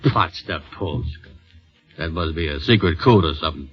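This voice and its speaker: Low-voiced, slow-witted, folksy family friend. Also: male voice, breathy edge, full character voice. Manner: folksy, ungrammatical, puzzled drawl. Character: slow-witted, good-natured family friend, adult man. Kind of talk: 1940s radio comedy-drama acting. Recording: dull old 1940s radio broadcast.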